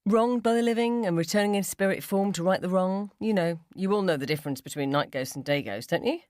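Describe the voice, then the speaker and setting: A woman's voice: mid-range voice, fairly quick, darkish tone, no echo, studio quality, voice pushed up, lightly acted. A woman voicing a mischievous mum in a short story, teasing and blunt with breezy false innocence. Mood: mock explaining. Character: mischievous, needling mum provoking her friends, falsely innocent. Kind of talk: short story character dialogue.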